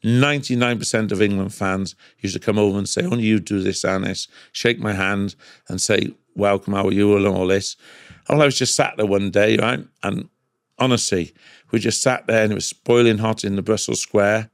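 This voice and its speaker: A man's voice: fairly low pitch, normal speed, no room sound, clean studio sound. A middle-aged man with a Cardiff Welsh accent telling casual anecdotes as a podcast guest, emphatic and repetitive, often checking in with "right?". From Cardiff, Wales.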